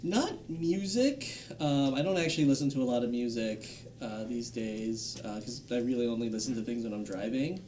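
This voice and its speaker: A man with an average-pitched voice chats casually as one of a group. There is faint background noise behind his voice. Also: lively intonation, normal speed, hardly any pauses, darkish tone. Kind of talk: casual chat.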